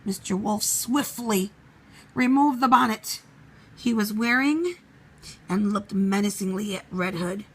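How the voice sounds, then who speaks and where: A woman narrating a children's storybook in a measured, expressive way. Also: medium pitch, husky, poor audio.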